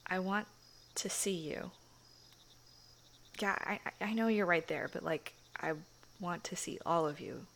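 A shy, sweet young churchgoing girlfriend, played by a woman in an intimate audio roleplay. She talks slowly in a hushed, hesitant way that keeps breaking into nervous giggles. Her voice has a bright, clear edge, with faint background noise. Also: lowish voice.

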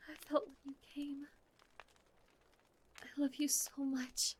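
A woman speaks almost entirely in a breathy whisper, with a very high voice. She takes her time over every phrase, soft and pleading, with sighs between her lines. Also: flat delivery, takes it slowly. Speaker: audio roleplay performer. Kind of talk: intimate whispered audio roleplay.

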